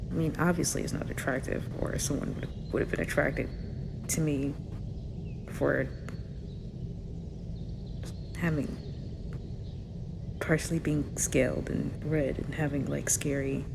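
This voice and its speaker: A woman acts out a sullen teenage dragon girl, unsure of herself yet rebellious, in an intimate roleplay. The voice sits low with a gravelly fry, and the talk rambles in hesitant, everyday phrasing. There is noticeable background noise on the amateur recording. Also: heavily acted. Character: sulky, insecure teenage dragon girl, partly scaled, rebellious. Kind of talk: ASMR roleplay, naturalistic one-sided dialogue.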